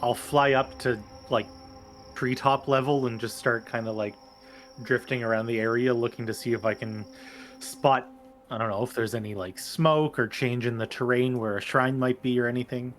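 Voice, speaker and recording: A man speaks casually as a player in a roleplaying game. His voice sits at a middle pitch. He describes actions informally and a little tentatively. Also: fairly even intonation, moderate pace, a bit bright. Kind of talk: casual roleplay table talk.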